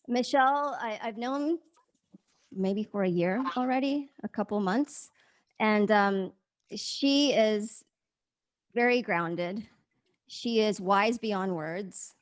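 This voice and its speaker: A woman hosting an online storytelling gathering, speaking in an effusive, encouraging way as she gives inspirational remarks and introductions. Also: medium pitch. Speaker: host of an online storytelling rally. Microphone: video call.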